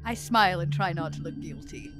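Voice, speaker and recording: A woman's voice: fairly high pitch, wildly swooping pitch, a little fast, over music. A woman playing a tabletop game, chatting and role-playing in a playful, breezy way. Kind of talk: casual in-game chat and role-play.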